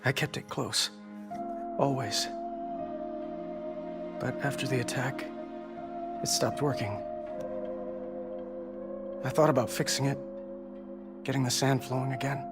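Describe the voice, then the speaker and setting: A man's voice: medium pitch, steady tone, loud music behind the voice, close to an everyday voice. A man as a remorseful, earnest repairman. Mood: wistful. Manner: sincere, halting and apologetic. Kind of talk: video game cutscene acting.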